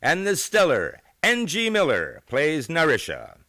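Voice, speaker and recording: A man's voice: on the high side, wildly swooping pitch, a little slow, sharp tone, slight background noise. A man announcing in scripted old-time radio style, with grandiose, theatrical showmanship. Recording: live theater show with music.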